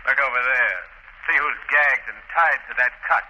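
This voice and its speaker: Scheming, scornful crime boss, 1940s radio drama. Domineering, forceful, on muffled noisy old recording. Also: male voice, medium pitch, normal speed. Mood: gloating. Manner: sneering, domineering. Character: ruthless, scornful, scheming male criminal boss.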